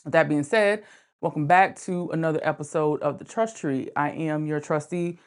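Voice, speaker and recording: A woman with a low-pitched voice speaks as a podcast host. Her delivery is informal and conversational, halting at times, with words repeated for emphasis. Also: clear, resonant tone.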